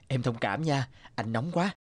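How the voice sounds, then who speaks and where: A man voicing a burly, easygoing young boss with a gangster air in an animated drama, casual and self-assured. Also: medium pitch, takes it slowly, heavy background noise. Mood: genial. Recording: studio voice over background music.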